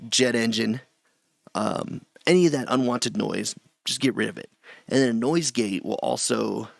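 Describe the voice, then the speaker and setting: A middle-aged man with a low voice gives a loose, chatty screen-share tutorial from a small home room, often restarting his sentences. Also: vocal fry, no room sound. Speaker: streamer teaching audio setup.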